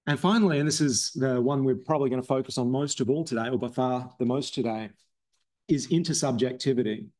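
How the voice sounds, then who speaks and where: A man presents at a university seminar at a mid-range pitch. He talks haltingly, stopping often on ums and restarting his sentences. His voice sounds slightly strained, as if he has lost it more than once recently. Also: a little mellow. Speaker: philosophy researcher presenting a seminar talk.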